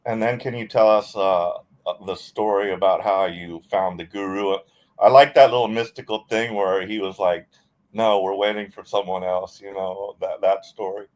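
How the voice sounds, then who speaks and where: Low-voiced male interviewer. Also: fairly even intonation, normal speed, darkish tone. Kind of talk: casual interview questions.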